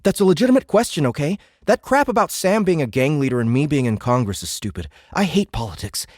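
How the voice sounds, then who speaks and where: Sarcastic, excitable American teenage boy. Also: fairly high pitch, fast, dry recording, clean studio sound. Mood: indignant. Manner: slangy, sarcastic, exasperated. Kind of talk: audiobook drama acting.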